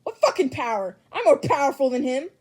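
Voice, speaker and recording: A woman voicing a hot-tempered, foul-mouthed teenage boy in a shouting, indignant fan fiction reading. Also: sits high, very animated, fairly quick. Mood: indignant outburst.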